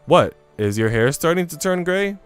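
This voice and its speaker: Guy doing a blunt shy young maid in a visual novel, kinda defensive, clear voice, music behind. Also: on the high side, very animated, moderate pace, voice pushed up. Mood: prickly. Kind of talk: visual novel read-aloud.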